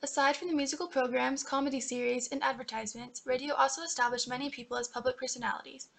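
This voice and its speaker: A young woman narrates a scripted documentary, reading from the page. She goes quickly in a slightly high voice with heavy fry at the edges. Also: fairly even intonation, fairly bright tone. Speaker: narrator of student history project.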